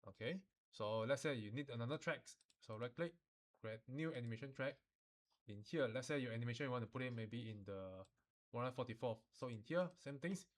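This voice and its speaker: Male software instructor, Malaysian or Singaporean accent, mid-pitched voice, informal step-by-step delivery with colloquial particles. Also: a little fast. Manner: colloquial phrasing with sentence-final particles like lah and lor.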